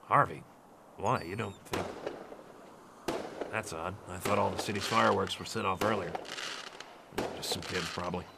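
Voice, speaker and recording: An actor playing a weary, wary policeman in a noir radio drama, his voice creaky and gravelly. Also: low voice, normal speed, long pauses. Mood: puzzled, dry. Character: weary, wary adult male police officer. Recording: loud fireworks and noise behind.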